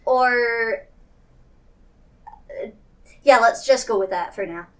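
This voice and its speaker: A woman player casually improvising in a tabletop roleplaying game, hesitant and halting. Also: fairly high pitch, a bit bright, far from the mic.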